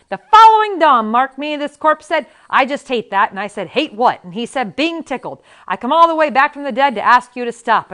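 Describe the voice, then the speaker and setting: A woman's voice: high voice, a bit bright, pitched above the performer's own voice. A woman voicing a cranky, boastful elderly male miracle healer who rambles in a querulous, bossy way. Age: over 80 (figure).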